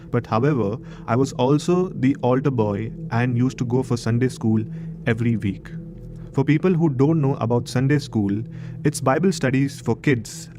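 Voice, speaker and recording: A man's voice: mid-range voice, noisy background. A man in his thirties from Maharashtra, India, with an Indian accent, hosting and narrating a horror story show. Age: 30s.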